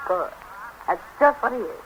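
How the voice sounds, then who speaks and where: An actress playing a flighty, self-dramatizing, worldly English wife with a theatrical, flippant manner, in a 1930s radio comedy style. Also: female voice, medium pitch, expressive, normal speed, fairly bright tone, lightly acted, poor audio. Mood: breezy. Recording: hissy 1930s radio broadcast.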